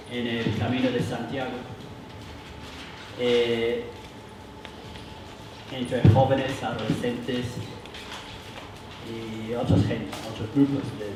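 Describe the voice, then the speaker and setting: A man with a foreign accent, not a native speaker, leading a church workshop for adults, halting with many fillers and restarts. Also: medium pitch. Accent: foreign accent in Spanish. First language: not Spanish. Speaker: workshop leader. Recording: roomy hall, mic from far, noisy.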